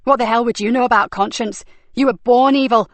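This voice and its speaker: A woman voicing a fierce female police detective, talking loudly and forcefully. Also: high-pitched, unhurried, no room sound, voice pushed up. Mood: furious. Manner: loud, forceful, desperate.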